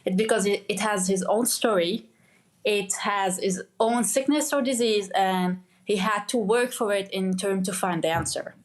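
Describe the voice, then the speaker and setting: A young woman from Lebanon talks as a podcast guest. Her voice sits at an ordinary middle pitch, and she tells her story haltingly, restarting phrases and leaning on "like". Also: rough recording. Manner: halting, many restarts and "like" fillers. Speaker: guest, medical doctor. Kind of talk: conversational interview.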